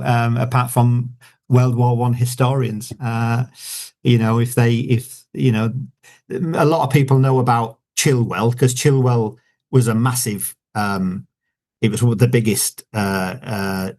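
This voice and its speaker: A man's voice: medium pitch, fairly even intonation, measured pace, darkish tone. A man with a Yorkshire accent, an author as a guest, talking in a rambling, casual way with many fillers and restarts. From Yorkshire, England. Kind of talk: casual interview chat.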